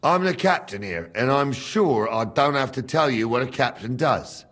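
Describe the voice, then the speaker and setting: An actor playing a gruff, teasing older castle captain with a husky voice and blunt, colloquial talk in a video game. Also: male voice, medium pitch, lively intonation, moderate pace, fairly bright tone. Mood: gruff, matter-of-fact. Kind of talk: video game dialogue acting.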